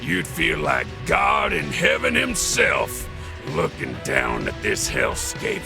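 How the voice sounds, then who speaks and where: Cackling demon racer, game villain, super gravelly n kinda high, theatrical taunting, music behind. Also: male voice, wildly swooping pitch, slow, full character voice. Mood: theatrical, amused. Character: cackling, battle-crazed male demon speed racer.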